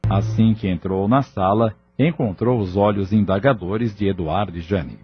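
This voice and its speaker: A man narrating a scripted audio novel in a measured, dramatic storytelling manner. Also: lowish voice. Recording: muffled, lo-fi track with music. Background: clear background music.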